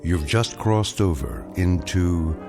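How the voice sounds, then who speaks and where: Man with a very low voice for ominous, measured anthology narration, music behind. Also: lively intonation. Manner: portentous, measured. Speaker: narrator of an anthology radio drama. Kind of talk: scripted dramatic narration.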